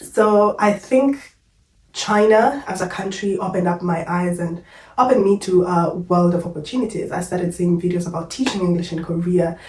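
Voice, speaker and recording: A woman's voice: lowish voice, normal speed, darkish tone, roomy sound, recorded from a distance, rough recording. A young Kenyan woman vlogger, unwell and a little short of breath, telling her story in a candid, chatty way. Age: young adult. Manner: chatty, candid, uses fillers like "like" and "yo". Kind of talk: casual personal storytelling to camera.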